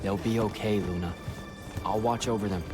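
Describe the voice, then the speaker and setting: A man's voice: on the low side, fairly even intonation, normal speed, lightly acted. A man playing a sardonic young street thief with a little magic, bantering casually in video game dialogue. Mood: quietly pointed. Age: young adult. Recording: music behind the voice.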